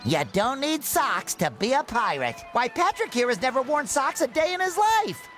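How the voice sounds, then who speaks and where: High-pitched, excitable cartoon sponge. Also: male voice, moderate pace, faint music behind, full character voice. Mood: cheerfully loyal. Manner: earnest, excitable, cheery. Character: eager, childlike, naive male talking sea sponge. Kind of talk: animated game cutscene acting.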